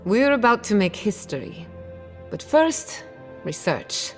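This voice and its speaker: An actress playing a bold, stubborn young outcast scholar in a video game, brisk and wry. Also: female voice, medium pitch, very animated. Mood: determined. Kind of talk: video game cutscene dialogue. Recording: music behind the voice. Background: game music.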